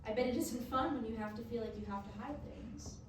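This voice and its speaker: A measured, patient female therapist in a stage drama, played by a woman, probing with questions. Her voice is fairly high and comes from far off, with echo around it. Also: lightly acted. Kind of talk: staged reading dialogue. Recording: echoey room from far off, background noise.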